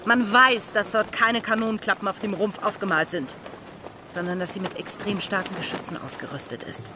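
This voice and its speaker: An actress playing a commanding, impatient young female pirate in an audio drama, speaking with sharp insistence. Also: mid-range voice, normal speed, background noise, natural voice. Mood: urgent, insistent.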